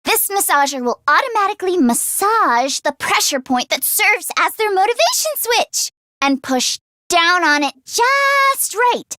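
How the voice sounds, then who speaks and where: An excitable teenage girl inventor from an anime-style video game. Her voice is very high and sharply bright, with a close, dry sound. She is earnest and expressive, easily flustered. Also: measured pace, no echo, exaggerated voice. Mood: eager, proud. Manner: expressive, swinging from deadpan grumbling to frantic outbursts.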